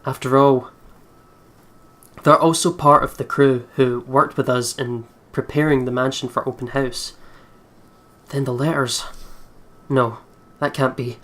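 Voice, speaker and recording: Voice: mid-range voice, steady tone, a little slow, fairly bright tone. A game video host reading a horror visual novel aloud dramatically, with casual asides.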